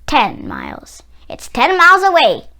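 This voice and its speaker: A brisk old farm grandmother from a storybook, acted out by a woman reader. She is high and swoopy in pitch, folksy and coaxing, and always reassuring. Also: huge pitch swings, gravelly, sharp tone, full character voice. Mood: reassuring, urgent.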